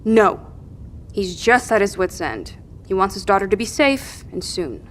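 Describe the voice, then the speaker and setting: A woman's voice: medium pitch, lively intonation, unhurried, a little hiss or hum, lightly acted. A woman playing a firm, no-nonsense peacemaker in an audio drama, speaking directly and articulately. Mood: placating.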